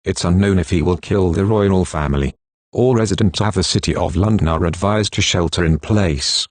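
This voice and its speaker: A man playing a stiff, formal, measured correspondent and emergency alert announcer, reading scripted bulletins. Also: low-pitched, no echo. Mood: formal, grave.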